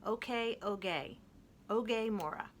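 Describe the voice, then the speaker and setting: A woman reading a children's picture book aloud, with gentle, expressive and clear storytelling. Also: mid-range voice, a little slow, slight background noise.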